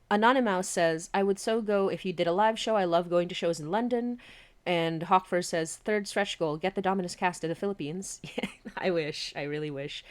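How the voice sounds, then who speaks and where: A woman hosting a casual livestream, chatty and animated, often giggling as she talks. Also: on the low side. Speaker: podcast host and writer.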